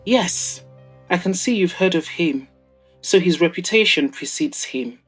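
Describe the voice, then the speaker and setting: A woman's voice: lowish voice, lively intonation, unhurried, slightly rough, faint music behind. A woman voicing a proud, guarded woman in an audio drama, speaking in pointed, formal, exasperated phrases. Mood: earnest. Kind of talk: audio drama character dialogue.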